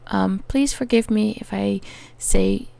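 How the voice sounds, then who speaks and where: A Dutch woman with a Dutch accent, talking through a crafting tutorial in a hesitant way, often pausing with "um" while she searches for words. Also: medium pitch, creaky, dark tone, no room sound. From Netherlands. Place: home attic.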